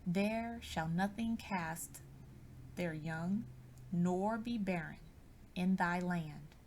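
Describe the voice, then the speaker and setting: A woman reads scripture aloud in a solemn, measured and deliberate manner, as a scripted narration. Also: fairly low pitch, darkish tone, a little hiss or hum. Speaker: scripture reader.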